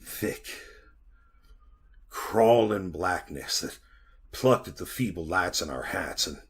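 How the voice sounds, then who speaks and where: Actor as a low, husky, haunted storyteller. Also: male voice, expressive, full character voice. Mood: ominous. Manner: brooding, deliberate, dramatic pauses. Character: haunted, bitter man recounting being lost in a mine. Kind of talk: retro 1940s radio drama narration.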